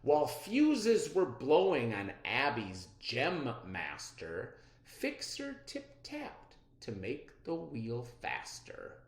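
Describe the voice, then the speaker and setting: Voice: mid-range voice, huge pitch swings, slow pace, few pauses. A storybook reader narrating a children's read-aloud expressively, with dramatic emphasis. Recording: roomy, echoey sound.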